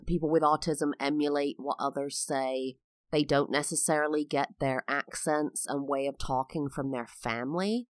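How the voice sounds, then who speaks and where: Female podcast host from England, very low pitch, chatty and explanatory, dry booth sound. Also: no room sound. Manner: personal, explanatory, with frequent fillers. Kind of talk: solo podcast monologue.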